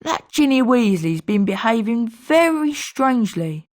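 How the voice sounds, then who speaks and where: A woman's voice: on the high side, sing-song, unhurried, a little mellow. A woman playing a gossipy young wizard school student, speaking conversationally in scripted video game lines. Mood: gossipy, annoyed.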